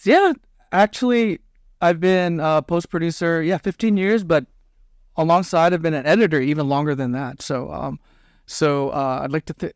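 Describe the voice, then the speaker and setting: Man from Thailand, podcast guest, higher voice, laughs often, dry close mic. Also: normal speed, clean tone, no room sound. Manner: laughs often, repeats words. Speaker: guest, post-producer. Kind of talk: casual interview.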